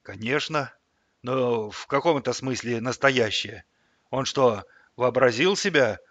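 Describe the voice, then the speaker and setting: A hapless henchman, whiny and unsure of himself played by a male narrator, in a comic storybook. The voice is bright and tense, sliding from hesitant mumbling into wailing laments, with a very dry sound. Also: medium pitch, unhurried, no echo, dull audio. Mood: bewildered, whiny. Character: hapless, whiny gangster henchman nicknamed Hamster.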